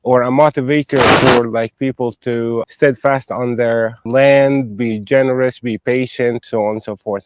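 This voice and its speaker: A man from Palestine, giving a prepared talk in a measured way, listing his points one by one. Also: medium pitch, darkish tone, rough recording. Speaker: speaker.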